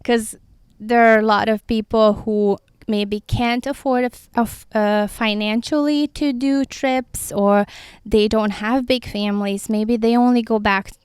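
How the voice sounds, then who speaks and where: Chatty woman rambling with a crisp, smooth voice. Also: on the high side, steady tone, unhurried, darkish tone, dry recording, clean studio sound. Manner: rambling, goes off on tangents. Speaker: podcast host. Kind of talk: casual solo talk. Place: outdoors by the sea.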